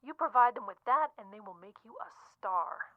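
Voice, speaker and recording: Tinny-phone, pushy literary agent. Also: female voice, mid-range voice, animated, normal speed, dry recording. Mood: persuasive, breezy. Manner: breezy, slangy, cajoling. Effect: telephone filter. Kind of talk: audio drama acting, chatty phone-call delivery. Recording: tinny, narrow phone-line sound.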